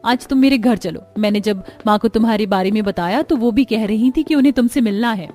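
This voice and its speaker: A woman's voice: fairly high pitch, a little fast, warm tone, faint music behind, lightly acted. A woman voicing an earnest, outspoken young female student in a cartoon story. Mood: grateful, earnest.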